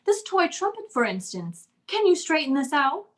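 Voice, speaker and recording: A woman performs a grand society matron in a radio comedy, very high and swooping in pitch. Her diction is formal and pompous, haughty and well-bred. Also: very animated, moderate pace. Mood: gracious, formal. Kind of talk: old-time radio comedy acting.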